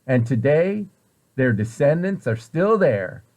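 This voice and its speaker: A man reading a children's picture book aloud with expressive, deliberate storyteller phrasing. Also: medium pitch.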